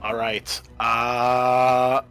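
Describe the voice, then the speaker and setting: A man acts as game master in a tabletop roleplaying session, with a bright, forward voice. He narrates and explains rules conversationally, feeling out rulings as he goes, while music plays faintly behind him. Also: medium pitch, level tone, drawn-out words, clean tone.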